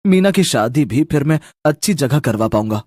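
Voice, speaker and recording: A man's voice: mid-range voice, animated, normal speed, darkish tone, no echo, studio quality, natural voice. A man voicing a poor, hardworking young street vendor in a cartoon story, speaking in an earnest, plaintive and emphatic way. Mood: hopeful, determined. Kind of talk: Hindi cartoon story dubbing.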